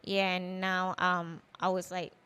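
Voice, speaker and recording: A woman's voice: fairly low pitch, flat delivery, unhurried, sharp tone, slight background noise. A woman in her thirties from Thailand, with a Thai accent and Thai as her first language, chatting loosely as a chef guest in an interview. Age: 30s. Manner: chatty and loose, full of "you know" and "like". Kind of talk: casual interview.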